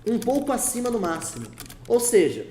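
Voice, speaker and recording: Brazilian male teacher, warm tone, coaching chatter, roomy echo. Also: very high-pitched, very animated, normal speed, a little hiss or hum. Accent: Brazilian Portuguese. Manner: chatty, coaching, repeats points for emphasis. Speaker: English teacher. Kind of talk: casual video lesson. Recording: echoey room.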